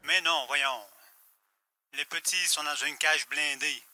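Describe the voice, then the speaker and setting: A man's voice: fairly high pitch, fairly even intonation, takes it slowly, sharp tone, full character voice. A man playing a villain's deferential accomplice in an audio drama, hesitant and questioning. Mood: uneasy.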